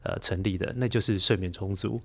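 A man's voice: on the low side, steady tone, fairly quick, creaky, a bit bright. A man with a Taiwanese Mandarin accent, a children's storyteller, explaining reflectively as a podcast guest and repeating words for emphasis. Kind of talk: conversational interview, reflective explaining.

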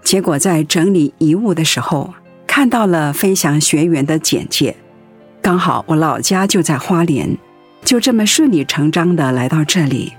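A steadfast, grateful widow in a radio drama, with a slightly low voice. She speaks tenderly and earnestly over soft music. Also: animated, moderate pace, breathy edge. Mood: reflective. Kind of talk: Mandarin radio drama acting.

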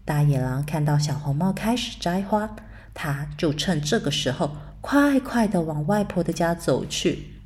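A woman narrating a bedtime story for children in a soft, soothing way. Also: low-pitched, animated, unhurried. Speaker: storyteller mother.

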